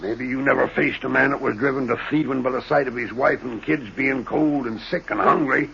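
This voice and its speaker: A man's voice: mid-range voice, fairly even intonation, fairly quick, creaky, bright, forceful tone. An actor playing a proud, desperate western family man with blunt, embittered, rustic talk, in 1940s radio drama style. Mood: bitter. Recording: muffled, noisy old radio recording.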